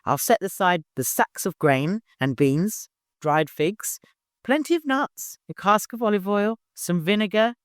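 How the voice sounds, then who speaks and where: A man's voice: high-pitched, expressive, measured pace, no echo, studio quality, voice pushed up. A man voicing a mischievous, teasing old storekeeper in an audiobook. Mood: brisk. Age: older. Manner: teasing, playful, exclamatory. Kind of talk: audiobook character voice.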